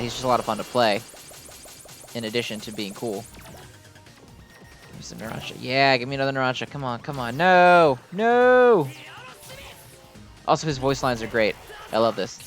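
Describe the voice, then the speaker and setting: A man's voice: medium pitch, a bit bright. A man giving casual, rambling gameplay commentary with a fan's enthusiasm and some mild swearing. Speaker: gamer commenting on own gameplay.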